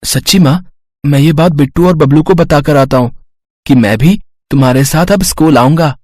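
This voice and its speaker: Eager, respectful schoolboy for a moral tale, acted by a woman, dark-toned and dry. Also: deep voice, moderate pace, no room sound. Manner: earnest, respectful. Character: eager, polite poor schoolboy devoted to his studies.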